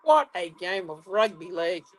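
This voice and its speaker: A woman hosting a live fan watch-along of a rugby league match, hoarse from shouting and out of breath, talking in excited bursts. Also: lowish voice, normal speed. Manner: excitable, exclaiming. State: hoarse, lost voice. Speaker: fan channel host.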